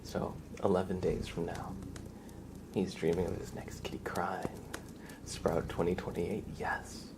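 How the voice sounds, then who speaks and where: Low, creaky-voiced man doing soft ASMR. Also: flat delivery, unhurried. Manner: playful, mock-serious, doting talk about and to a pet. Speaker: ASMR live streamer. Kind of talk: soft-spoken ASMR livestream chat, often dropping into whispers.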